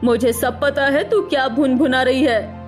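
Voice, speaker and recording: Lady doing tough no-nonsense bua in a cartoon, high voice, confrontational and blunt. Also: fairly even intonation, normal speed, soft background music, lightly acted. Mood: threatening. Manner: brusque, threatening, confrontational. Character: blunt, feisty aunt, protective daughter of the house.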